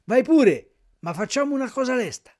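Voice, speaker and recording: A man's voice: extremely high pitch, very animated, moderate pace, a little mellow, pitched above the performer's own voice. A man voicing stern military policemen in a storybook reading, giving curt, soldierly orders. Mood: commanding. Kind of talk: audiobook character reading.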